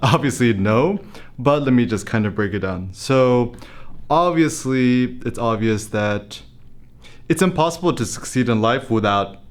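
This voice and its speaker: Rambling American man, low voice. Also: clear, resonant tone. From United States. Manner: rambling, thinking aloud with fillers and restarts. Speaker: photography blogger and YouTuber. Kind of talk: casual motivational talk to camera.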